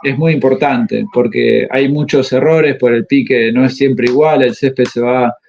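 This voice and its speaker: A man explains coaching in an interview, often halting to search for the right word. His voice sits at an ordinary middle pitch. The recording is a bit dull and muffled. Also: fairly even intonation, gravelly, a little mellow. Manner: halting, pauses often to find words. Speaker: tennis coach. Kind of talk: interview answers. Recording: slightly muffled audio.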